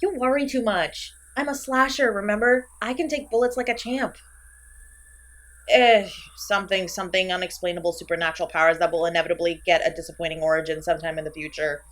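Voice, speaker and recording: A woman's voice: lowish voice, fairly even intonation, brisk, natural voice. A woman playing an insecure, petulant, chatty slasher killer in a comedic horror audio roleplay, theatrical and exasperated, swinging between sulky and manic. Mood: friendly, teasing.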